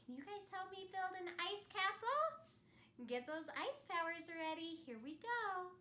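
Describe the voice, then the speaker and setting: A woman's voice: very high-pitched, animated, measured pace, pushed and bright, a little hiss or hum. A woman telling a children's story in the first person as an ice queen, theatrical and speaking simply and directly to children. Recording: roomy echo.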